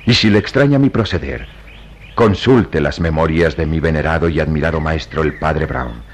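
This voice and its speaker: An actor playing a calm, devout priest detective in a radio drama, speaking in a measured, solemn and sententious way. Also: male voice, very deep voice, slight background noise. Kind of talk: old radio drama acting.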